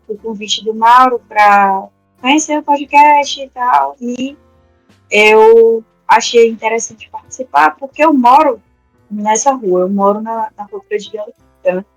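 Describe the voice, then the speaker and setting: Higher-pitched woman, dry close sound. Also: no echo, low-quality recording. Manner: hesitant, explaining with many fillers and restarts. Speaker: podcast guest, researcher and teacher. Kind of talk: casual conversational interview. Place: resident of a neighborhood in Salvador, Brazil.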